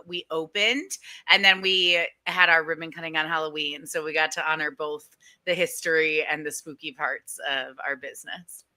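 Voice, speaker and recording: A woman from Connecticut talks as a podcast guest, a lively storyteller who laughs often. Her voice is very bright and sharp, at an ordinary pitch. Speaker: tour business owner, guest. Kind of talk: casual interview.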